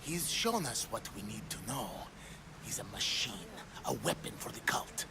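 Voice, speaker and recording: An actor playing a secretive, coldly cautious cult conspirator in a video game, speaking in a measured and guarded manner. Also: male voice, lowish voice, sing-song, vocal fry, noisy background. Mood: conspiratorial. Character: scheming, secretive male cultist, coldly cautious. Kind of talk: dramatic video game acting.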